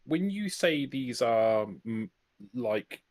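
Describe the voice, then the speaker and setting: A man playing a tabletop roleplaying game, casually and haltingly asking methodical questions and stating actions. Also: medium pitch, animated, a little mellow, dry recording.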